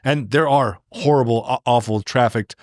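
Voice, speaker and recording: A man's voice: medium pitch, normal speed, darkish tone, no echo, studio quality. An American man chatting casually as a podcast co-host, rambling through opinions and anecdotes and acting out imagined remarks. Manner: rambling, filler-heavy, acts out imagined remarks.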